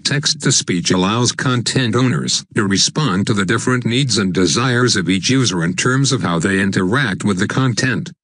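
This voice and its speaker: Synthetic male narrator, slightly low and husky. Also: normal speed, few pauses, a bit bright, dry recording. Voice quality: synthetic text-to-speech voice.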